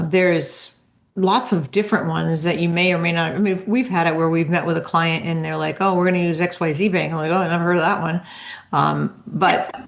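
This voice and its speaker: A low, gravelly-voiced woman co-hosting a podcast, laughing easily as she tells quick stories. Her voice sits low with plenty of fry. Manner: laughing, storytelling, quick asides. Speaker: podcast co-host, accountant. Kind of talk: casual podcast chat.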